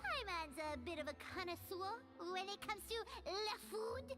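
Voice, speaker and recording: A woman's voice: very high-pitched, sing-song, normal speed. A woman voicing a tiny, cute, boastful fairy companion in an anime video game, childish in manner. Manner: speaks of self in third person. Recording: loud game music behind voice.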